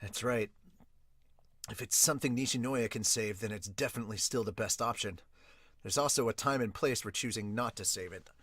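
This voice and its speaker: A man hosting a podcast, reading manga aloud and reacting casually with excitable, sweary interjections. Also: on the low side, fairly quick, slightly rough, no room sound, studio quality. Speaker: podcast host.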